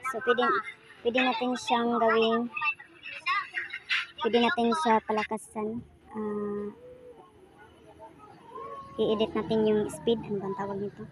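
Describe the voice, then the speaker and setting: A woman with a Filipino accent giving a casual step-by-step tutorial in a halting, self-correcting way, like someone demonstrating as she goes. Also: fairly high pitch, completely flat delivery. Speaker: tutorial presenter. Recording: noisy home recording with animal sounds.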